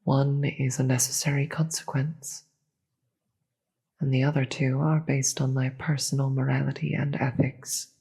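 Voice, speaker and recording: A performer voicing a regal, solemn demon queen in a fantasy audio roleplay, speaking formally and gravely in a breathy voice that often drops into a whisper. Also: medium pitch, level tone, a little mellow, heavily acted. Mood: measured, grave. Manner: slow, formal, measured, grave.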